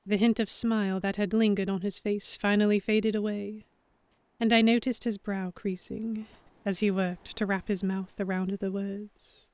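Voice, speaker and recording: A mid-range female voice from an actress narrates as the earnest heroine of a historical audio drama. She is measured, introspective and self-conscious. The sound is very dry, with no room echo. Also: medium pitch, little pitch movement, darkish tone, natural voice. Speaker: narrator and character. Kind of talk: scripted first-person audio drama narration.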